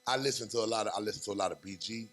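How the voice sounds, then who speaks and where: A young man, a rapper talking as a guest on a podcast in fast, animated street talk full of fillers. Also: on the low side. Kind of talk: casual podcast interview.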